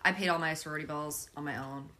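A woman's voice: deep voice, quick pace, pushed and bright. A young adult woman, a podcast guest, telling her story in casual chat with lots of fillers. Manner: earnest, filler-heavy storytelling.

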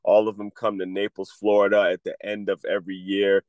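Congested man, low dry voice. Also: completely flat delivery, a little fast, clean tone, no room sound, highs cut off. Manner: rambling with frequent restarts. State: congested, under the weather. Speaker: podcast host. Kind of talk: casual solo talk, advice to athletes.

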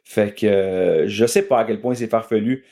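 A man's voice: lowish voice, normal speed, dark tone. A middle-aged man with a Quebec French accent giving casual sports analysis as a guest journalist.

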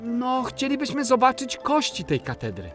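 A performer playing an excitable, mischievous little boy in a children's audio play, eagerly blurting things out. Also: sits high, moderate pace, soft background music, full character voice. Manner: eager, blurting questions and exclamations.

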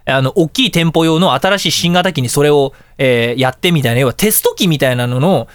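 A man hosting a casual radio talk show, telling anecdotes in an animated way and acting out dialogue and reactions. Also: fairly high pitch, a little fast, smooth, dry recording.

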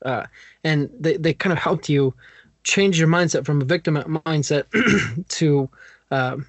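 A man's voice: fairly high pitch, unhurried, no room sound. A man hosting a podcast who interviews his guest thoughtfully, with hesitant restarts. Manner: hesitant, thoughtful, repeats words. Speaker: podcast host.

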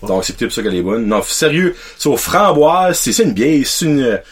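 Young guy hosting a podcast, husky voice, pitch jumps around a lot. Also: moderate pace. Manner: animated, mixes English words into French. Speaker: podcast host. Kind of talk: casual podcast chat.

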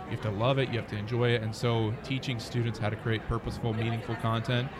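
A man's voice: medium pitch, flat delivery, fast talker, over loud music. An American man speaking conversationally as a startup founder being interviewed. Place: busy conference venue near an expo hall. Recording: busy crowd chatter behind the voice.

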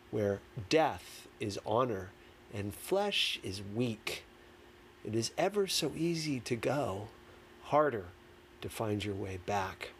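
A man reading a memoir aloud as scripted narration, with dramatic, deliberate phrasing. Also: mid-range voice. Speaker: audiobook reader. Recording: clearly noisy background.

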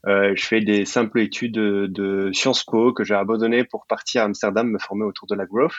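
Young man, low voice, informal interview guest, English jargon mixed in, dry slightly muffled recording. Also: level tone, a little fast, no echo. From Belgium and Sicily. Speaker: podcast guest, startup programme manager. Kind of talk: casual conversational interview.

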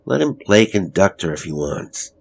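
A man's voice: deep voice, big pitch swings, normal speed, creaky, slightly rough, exaggerated voice. A man voicing an angry, dismissive husband in an audiobook story, blunt and scolding as he defends his son.

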